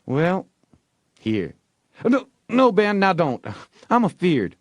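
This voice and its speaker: Storybook role: man as crafty young boy, coy folksy dialect, raised pitch, lively swings, feigned reluctance. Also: takes it slowly, no echo, clean studio sound, exaggerated voice. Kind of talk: audiobook character voice.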